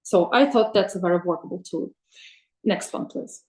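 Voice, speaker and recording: A woman presents AI tools for teachers in a webinar, thinking aloud with many ums and pauses. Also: lowish voice, a little mellow, roomy sound. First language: Russian. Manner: thoughtful, many ums and uhs, asks for next slide. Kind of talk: presenting slides in an online webinar.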